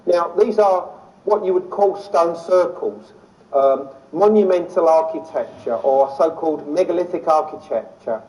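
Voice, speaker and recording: A man's voice: on the high side, measured pace. London man lecturing, distant from the mic. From London, England. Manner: enthusiastic, easily excited. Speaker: lecturer on ancient sites. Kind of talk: illustrated public lecture.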